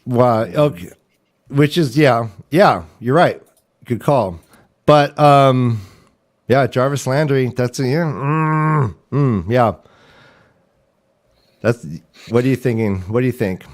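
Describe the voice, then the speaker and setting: A man's voice: medium pitch, slow pace, pauses often. A man hosting a fantasy football livestream, chatting casually in a playful, joking way. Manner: jokey, playful. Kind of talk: casual chat, joking sports talk.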